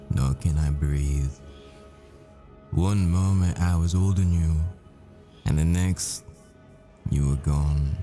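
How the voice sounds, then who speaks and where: A man acts out a devoted, heartbroken professor boyfriend in an intimate ASMR drama. His voice is very low and dark, moving slowly in hushed, halting phrases, with music underneath. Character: grieving, devoted British professor boyfriend at world's end.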